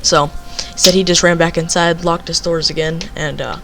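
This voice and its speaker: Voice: medium pitch, fairly even intonation, moderate pace, creaky, bright, forceful tone, soft background music. A young person telling a casual, rambling story, leaning on filler phrases. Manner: rambling, repeats filler phrases like "basically" and "you know".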